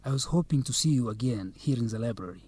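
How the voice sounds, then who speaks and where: A confident, teasing young man, a law student in an educational radio drama. His voice is medium in pitch, and he speaks in a courteous, measured way. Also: expressive, dark tone, husky. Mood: friendly, curious. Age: young adult.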